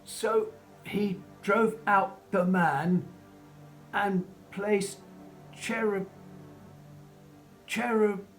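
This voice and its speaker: Actor as a lonely monster, radio drama, extremely slow, halting, childlike, tormented, music behind. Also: mid-range voice, steady tone. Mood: eager, halting. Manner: halting, effortful, repeats words. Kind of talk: radio play acting.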